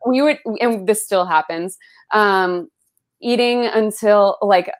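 A young woman raised in the Bay Area, with Spanish as her first language, chatting as a guest in a relaxed interview. She rambles warmly through memories, peppering her speech with "like" and "um". Her pitch sits in a middling range. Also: slow pace. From San Francisco Bay Area, US. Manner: chatty, full of "like" and "um", animated when recalling. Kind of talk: casual conversational interview.

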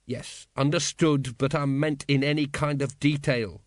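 A weary middle-aged watch captain, read by a male narrator in a storybook. He is cynical and dry, speaking hesitantly at a medium pitch. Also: fairly even intonation, unhurried, no echo, natural voice. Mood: weary, puzzled.

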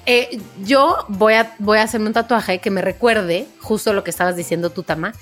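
A woman with a Mexican Spanish accent co-hosting a casual podcast chat, telling stories in an animated way and acting out quotes. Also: mid-range voice, moderate pace, soft background music. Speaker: podcast co-host. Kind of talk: casual chat among friends.

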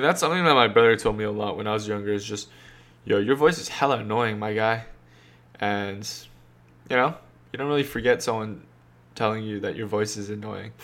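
A man's voice: on the low side, fairly bright tone. A young man hosting a casual solo podcast, rambling and self-deprecating, full of slang. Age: young adult. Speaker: podcast host.

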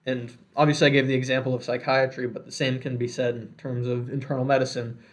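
A man's voice: mid-range voice, flat delivery, fast talker. Earnest young man giving advice. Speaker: medical student. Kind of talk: casual podcast discussion.

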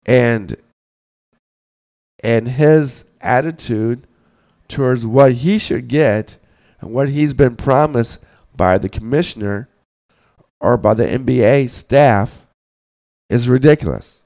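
A hoarse man, getting over the flu, hosts a podcast and delivers a blunt, scolding sports rant, often repeating words as he thinks. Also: mid-range voice, slow, pauses often, a little mellow. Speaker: podcast host.

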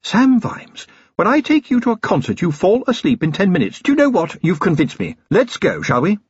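A man performs an aristocratic middle-aged wife for an audiobook. The voice is high and husky, with lively swings of pitch. She is polite but forceful and emphatic, with brisk affection. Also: lo-fi sound, full character voice. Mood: brisk, bossy. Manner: emphatic, polite, upper-class phrasing. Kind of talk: audiobook character voice.

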